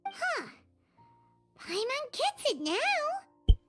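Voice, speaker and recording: Very high, squeaky fairy sidekick. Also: female voice, huge pitch swings, extremely slow, fairly bright tone, faint music behind, full character voice. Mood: curious. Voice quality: squeaky, childlike. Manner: refers to self by name in third person. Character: small chatty childlike fairy companion, excitable, naive. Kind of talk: video game character acting.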